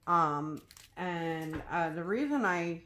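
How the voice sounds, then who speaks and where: A woman's voice: fairly low pitch, animated, slow pace, a bit bright. A woman from New Mexico with an American accent, chatting casually and hesitantly as she crafts. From New Mexico, USA. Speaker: host of a crafting vlog. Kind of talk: casual chat while crafting. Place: indoors at a craft table.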